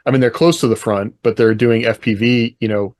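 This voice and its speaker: A man's voice: medium pitch, steady tone, fairly quick, darkish tone, no echo, studio quality. A man co-hosting a podcast, talking informally and thinking aloud in a casual panel discussion. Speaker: podcast co-host.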